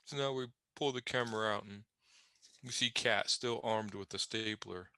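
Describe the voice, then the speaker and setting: A man's voice: fairly low pitch, moderate pace, sharp tone, clear, resonant tone, dry recording. A man narrating comic panels off the cuff, with wry, rambling, conversational asides. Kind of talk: improvised humorous scene description.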